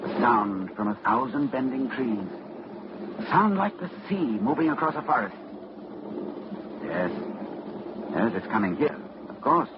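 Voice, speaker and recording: A man's voice: medium pitch, sing-song, measured pace, pauses often. A grave scholar who has faced something monstrous, his speech urgent and lecturing. His delivery rises and falls sharply. The sound is a dull, hissy old radio recording. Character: older male scholar facing a monster. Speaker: Doctor Armitage. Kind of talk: 1940s radio drama acting.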